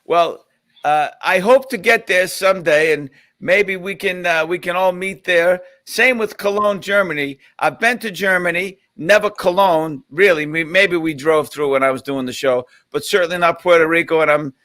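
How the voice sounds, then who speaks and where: A man's voice: high voice, moderate pace, sharp tone. A man hosting a video call chat, upbeat and enthusiastic, asking his guest questions. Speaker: host.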